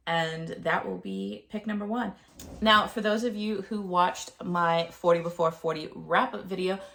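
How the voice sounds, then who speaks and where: A woman in her thirties chats to camera about her reading plans, sounding a little nasal and gravelly from a cold. Her voice sits on the low side, and she talks loosely, with plenty of ums and uhs. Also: a bit bright. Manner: chatty, with frequent ums and uhs. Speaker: book vlogger. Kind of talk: casual chat to camera.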